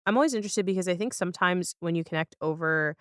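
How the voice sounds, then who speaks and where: A woman's voice: lowish voice, fast, fairly bright tone, clean tone, no room sound, clean studio sound. A woman hosting a casual podcast interview, asking questions in an informal, inquisitive way.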